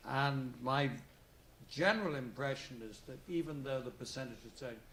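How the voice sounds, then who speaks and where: A man's voice: mid-range voice, moderate pace, a bit bright, slight background noise. Older accented man moderating a conference panel, digressive, in an echoey hall. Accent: non-native English, foreign-accented. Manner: rambling, digressive, full of asides. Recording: roomy conference hall with faint ambience.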